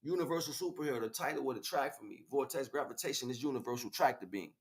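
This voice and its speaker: A man's voice: medium pitch, quick pace. American man preaching in street slang. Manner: preachy, slangy, repeats phrases for emphasis. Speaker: spiritual livestream host. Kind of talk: impromptu spiritual monologue to listeners.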